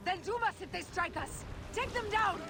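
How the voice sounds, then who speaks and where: A woman's voice: very high-pitched, normal speed. A woman voicing a female fleet commander in a video game, giving tense, clipped warnings. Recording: distant game audio with loud music.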